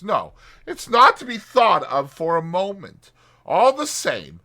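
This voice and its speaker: Pompous boastful toad for a storybook, really high voice, swoops all over, indignant and wordy. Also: male voice, pushed and bright, exaggerated voice. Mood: boastful, offended. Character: boastful, haggling, pompous toad, a male animal. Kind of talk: dramatized children's storybook reading.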